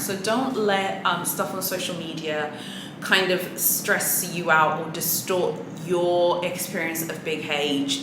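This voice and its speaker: A woman's voice: lowish voice, roomy sound, noisy background. A woman talking straight to her viewers in a casual, earnest, motivational way, with small laughs and restarts as she gives advice. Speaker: luxury handbag vlogger. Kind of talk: casual to-camera advice talk.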